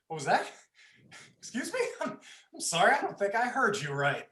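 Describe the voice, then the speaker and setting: A man's voice: sits high, huge pitch swings, moderate pace, sharp tone, echoey room, full character voice. A man playing a sadistic, mocking young predator in a horror play reading, taunting with false politeness. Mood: mocking, threatening.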